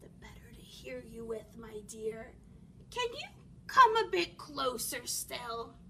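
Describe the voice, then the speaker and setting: A woman voicing a sly, hungry male wolf in a storybook, falsely polite with drawn-out, oily phrasing. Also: a little high, sing-song, pushed and bright, far from the mic, slight background noise, voice pushed down, full character voice. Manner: drawn-out, oily, sometimes whispering.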